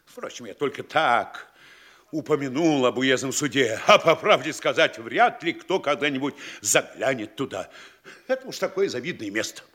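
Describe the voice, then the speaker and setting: A pompous, lecturing town mayor. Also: male voice, mid-range voice, lively intonation, moderate pace, a bit bright. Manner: lecturing, scolding, theatrical. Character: pompous self-important male town mayor, middle-aged. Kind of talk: classic stage radio play acting.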